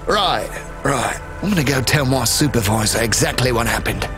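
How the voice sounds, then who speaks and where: A man's voice: medium pitch, animated, normal speed, close to an everyday voice. An actor playing a paranoid, foul-mouthed male delivery driver in a video game, rambling and agitated. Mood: wary, conspiratorial. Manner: rambling, agitated, swearing conspiracy talk. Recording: loud music over the voice. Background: loud music, vehicle noise.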